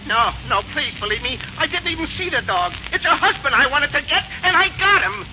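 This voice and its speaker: An actor playing a nervous male suspect who blurts out a confession in a high, wailing voice, in 1940s radio comedy. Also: sing-song, fairly quick. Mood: pleading. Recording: muffled hissy 1940s radio broadcast.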